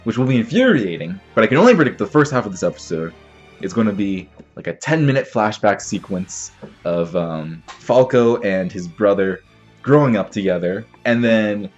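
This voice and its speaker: A young man reacts to an anime episode in a low voice, sounding a little stuffy from a cold. He rambles excitedly, with plenty of fillers and restarts. Show music plays faintly behind him. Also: moderate pace, highs cut off. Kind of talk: casual reaction commentary.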